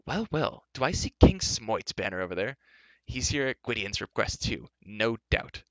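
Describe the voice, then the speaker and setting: A man's voice: fairly low pitch, big pitch swings, moderate pace, fairly bright tone, no echo. A man voicing a flamboyant, blustering bard and king in a fantasy audiobook, effusive and easily flustered. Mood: delighted. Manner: effusive, flustered, hesitant boasting.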